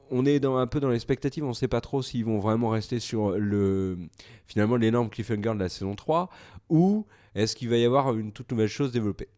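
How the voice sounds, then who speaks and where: A man's voice: lowish voice, a little mellow. A man with a French accent giving a solo series review, hesitant, with frequent fillers and restarts. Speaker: video reviewer. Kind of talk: solo casual review to camera.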